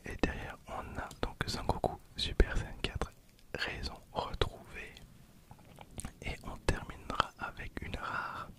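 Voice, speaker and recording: A man in his twenties whispering for an ASMR video, soft and hesitant with frequent fillers, speaking close to a recorder. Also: slow. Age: 20s. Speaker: ASMR creator. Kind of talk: whispered ASMR card opening. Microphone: handheld recorder behind the camera.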